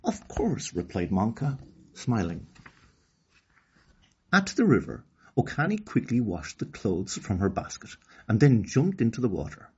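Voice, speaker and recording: Husky Irish man reading a children's story. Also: on the low side. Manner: expressive, deliberate storybook delivery. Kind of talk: children's read-aloud storytelling. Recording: slightly dull lo-fi recording.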